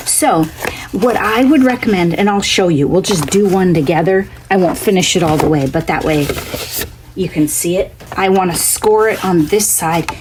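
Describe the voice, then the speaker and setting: Crafting host, female, lower register, slightly hoarse from a cough; chatty, homey, talks her steps through aloud. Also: noisy background. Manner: chatty, homey, thinks out loud. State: scratchy from a cough. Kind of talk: casual crafting tutorial.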